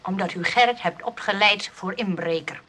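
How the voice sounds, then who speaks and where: A woman playing a prim, moralising but soft-hearted nursing sister in a radio play, earnest and fretful. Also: medium pitch, normal speed, slight background noise, lightly acted. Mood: stern.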